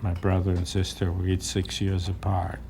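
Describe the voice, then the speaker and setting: A man's voice: deep voice, monotone, moderate pace, warm tone, a little hiss or hum. An older man from Lithuania, telling his story in a wry, matter-of-fact interview. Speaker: Holocaust survivor interviewee. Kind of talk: testimony interview.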